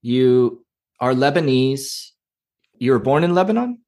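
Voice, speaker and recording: A middle-aged man hosting a podcast, talking in a gentle, unhurried, friendly way. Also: medium pitch, lively intonation. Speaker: podcast host. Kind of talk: interview hosting.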